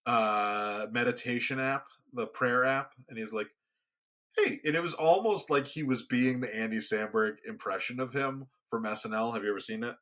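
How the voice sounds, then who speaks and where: A soft-spoken man co-hosting a casual podcast, rambling with frequent restarts. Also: normal speed. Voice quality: booming when raised. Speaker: podcast co-host. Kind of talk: casual conversation. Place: home studio, remote recording.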